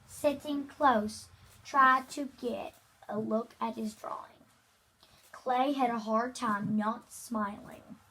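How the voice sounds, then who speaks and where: A young child reading a children's book aloud, haltingly, stumbling over words. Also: medium pitch, fairly bright tone, poor audio. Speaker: reader.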